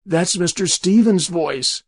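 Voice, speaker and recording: A man plays a plain-spoken storybook farmer with a creaky, husky voice. He is stubborn and folksy, guarded and uneasy yet defiant. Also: medium pitch, big pitch swings, measured pace, a little mellow, no echo, clean studio sound, voice pushed up, exaggerated voice. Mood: shaken, defiant. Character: stubborn, uneasy religious New Hampshire farmer, male. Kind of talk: dramatized storytelling.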